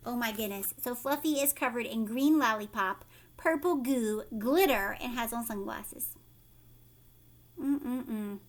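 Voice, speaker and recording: A woman reading a children's story aloud like a teacher, expressively, pausing to ask her young listeners questions. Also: a little high, a little hiss or hum.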